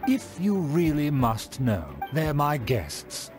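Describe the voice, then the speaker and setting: An actor playing a haughty, scheming middle-aged aristocrat uncle in a dramatic, indignant, theatrical style for a video game. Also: male voice, a little high, big pitch swings, slow pace, darkish tone, soft background music.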